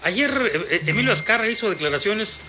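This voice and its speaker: Man, radio sports host, high sharp bright voice, chatty, rambling with fillers. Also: lively intonation, fast talker, a little hiss or hum. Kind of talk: radio interview.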